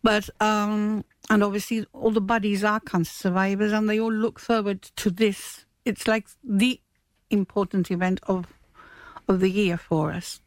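A woman's voice: mid-range voice, steady tone, unhurried, no echo, rough recording. Chatty woman in dry studio. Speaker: radio guest, stage manager. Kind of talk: chatty radio interview. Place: radio studio.

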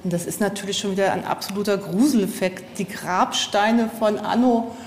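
A woman's voice: mid-range voice, moderate pace, a little hiss or hum. A woman guest chatting informally and easily on location, thinking aloud as she goes. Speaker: author, podcast guest. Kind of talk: casual chat on location. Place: on a castle visit. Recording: location recording with background ambience.

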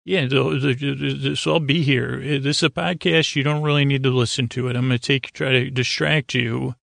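A man hosting a bedtime podcast in a rambling monologue, stammering and derailing himself, with a gravelly, creaky voice. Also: medium pitch, steady tone, a little fast, fairly bright tone, no echo, studio quality. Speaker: podcast host.

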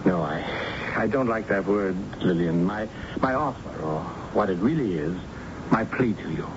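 Low-voiced, hesitant older suitor. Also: male voice. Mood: earnest, halting. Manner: halting, pleading. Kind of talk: radio drama acting. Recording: muffled, noisy old radio broadcast recording.

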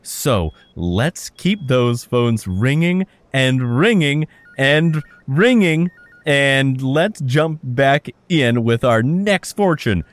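A chuckling telethon host. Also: male voice, expressive, very slow, dry recording. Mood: upbeat. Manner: chummy fundraising pitch, chuckling. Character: upbeat male radio telethon host. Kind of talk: audio drama acting.

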